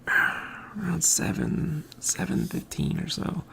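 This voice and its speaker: A man hosting his own podcast, talking casually and softly in a quiet, mumbling way from a home room with an overhead mic. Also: fairly high pitch, huge pitch swings, takes it slowly, gravelly, a little hiss or hum. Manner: quiet, soft-spoken, mumbling. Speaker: podcast host. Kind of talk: casual morning monologue. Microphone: hanging overhead mic.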